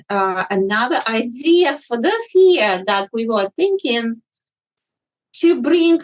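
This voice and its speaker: Woman, slow, hesitant fillers, interview guest. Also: fairly high pitch, rough recording. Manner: deliberate, with many ums and uhs. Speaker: guest, magazine editor. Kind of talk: interview answers.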